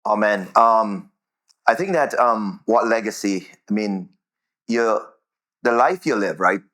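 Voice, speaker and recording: A man's voice: on the low side, slow, fairly bright tone. A man with a Jamaican accent answering interview questions conversationally, repeating words as he goes and often checking in with "right?".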